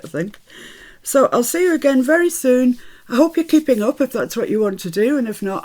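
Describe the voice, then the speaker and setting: A woman's voice: mid-range voice, darkish tone. A woman born in Scotland with a mixed British accent from years in the Midlands, chatting cheerfully through a crafting tutorial as she works. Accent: mixed British, Scottish-born, Midlands. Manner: chatty, cheerful, laughs often, talks while working. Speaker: craft channel host.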